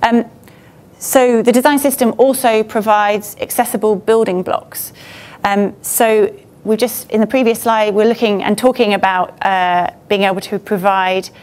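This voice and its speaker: A woman's voice: medium pitch, fairly even intonation, a little slow, darkish tone. A woman speaking informally as a presenter on an auditorium stage, conversational and a little hesitant, with loose phrasing. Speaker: conference speaker, government design team member. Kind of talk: informal presentation to a meetup audience.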